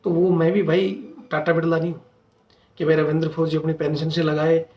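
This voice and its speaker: A man's voice: fairly high pitch, level tone, fairly quick, a little mellow. A man, a channel host making an earnest, insistent informal appeal to his followers. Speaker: retired soldier speaking as channel host. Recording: echoey room sound.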